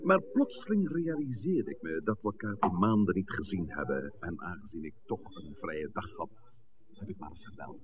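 A wily village priest, animated delivery. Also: male voice, low voice, a crowd in the background. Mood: affable. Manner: measured, formal, genteel speech. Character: calm, scheming village priest, wily and courteous. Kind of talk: 1960s Dutch radio play acting. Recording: muffled, faintly noisy 1960s radio recording.

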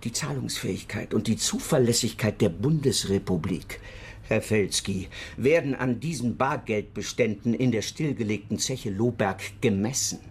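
An actor playing a secretive government official with a husky voice and a formal, condescending manner, in a radio crime drama. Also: male voice, lowish voice, moderate pace, slight background noise, natural voice. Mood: stern, secretive.

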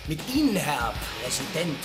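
A man's voice: fairly high pitch, very animated, normal speed, loud music behind the voice. An actor playing a defiant, taunting male prisoner in a radio drama, barking commands with menace. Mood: menacing, taunting.

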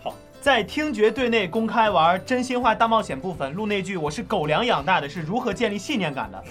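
A man's voice: sits high, expressive, a little fast, a bit bright, soft background music. A man chatting casually as a voice actor on a group question-and-answer panel.

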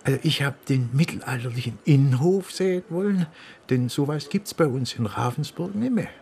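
An actor playing a dry, wry police inspector who talks haltingly and deliberately in a radio crime drama. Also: male voice, mid-range voice, lively intonation, vocal fry, darkish tone, a little hiss or hum. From southern Germany.

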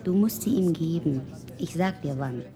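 A woman's voice: sits low, expressive, moderate pace, warm tone. An actress playing a guarded, bossy woman in a radio play, speaking tersely and evasively. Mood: tense, curt. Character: guarded, bossy woman colleague, anxious and curt. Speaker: actor. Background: music.

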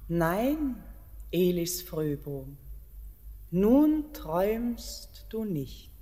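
A woman's voice: lowish voice, big pitch swings, mellow, full character voice. An actress playing an ageless, otherworldly mountain queen, solemn and yearning, declaiming verse in a 1940s radio play. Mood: calm, commanding. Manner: solemn, measured, incantatory declamation. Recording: 1949 radio recording with long reverb.

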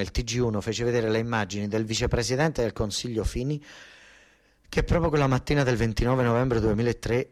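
Southern italian guy doing a stage monologue, mid-pitched, close dry sound, folksy dialect bits, repeats himself for effect. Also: darkish tone, dry recording. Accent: southern Italian, Basilicata. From southern Italy. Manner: colloquial, dialect-tinged telling with repeated phrases and dramatic pauses. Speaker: stage storyteller and monologue actor. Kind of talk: theatrical first-person narrative monologue.

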